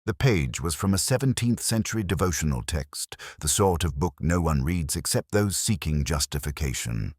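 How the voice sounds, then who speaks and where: A man reading scripted first-person narration for a detective mystery in a measured, literary, reflective manner. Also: a bass voice, expressive, no echo, studio quality.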